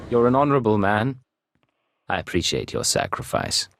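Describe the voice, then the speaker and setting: An actor playing a resolute secret agent in a video game, controlled, terse and businesslike. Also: male voice, lowish voice, wildly swooping pitch, normal speed, vocal fry, dry recording, studio quality, exaggerated voice. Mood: cool, firm. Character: cool, resolute male imperial secret agent.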